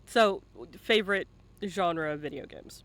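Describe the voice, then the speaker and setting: A man with a high-pitched, bright voice runs a casual live interview. He teases and jokes with the guest, bouncing phrases back and repeating them playfully. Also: a little slow. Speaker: interviewer, stream host.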